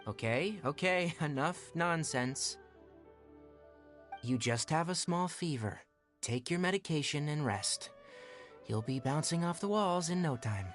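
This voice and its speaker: A young forest ranger in an anime game, sensible and caring. He talks briskly and matter-of-factly in a very expressive voice, over faint music. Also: a little high. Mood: reassuring, stern. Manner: matter-of-fact, gently scolding.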